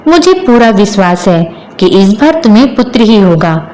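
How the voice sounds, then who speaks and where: A woman's voice: medium pitch, very animated, normal speed, mellow, lightly acted. A woman voicing a moody, emphatic village husband who longs for a son, in a storybook tale. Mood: placating.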